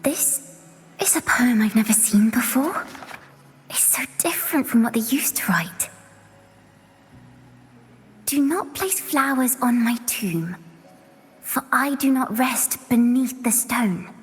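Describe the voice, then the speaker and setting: A woman voicing a gentle, wistful young woman in a video game, with a lyrical and deliberate delivery. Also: a little high, animated. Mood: puzzled, wistful. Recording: long reverb, music behind.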